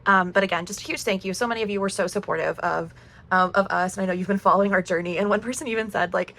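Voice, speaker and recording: A woman's voice: medium pitch, fairly even intonation, racing, fairly bright tone. A woman in her thirties who is losing her voice, chatting upbeat to camera as a budgeting vlogger. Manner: chatty, upbeat, laughs often. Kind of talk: casual chat to camera.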